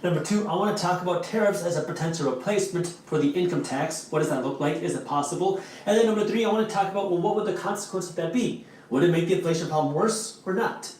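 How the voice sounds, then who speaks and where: A man who is an attorney, whose first language is Punjabi, lays out a scripted explainer in a clear, structured way. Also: high-pitched, fast, hardly any pauses, darkish tone, distant mic. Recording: echoey audio replayed from afar.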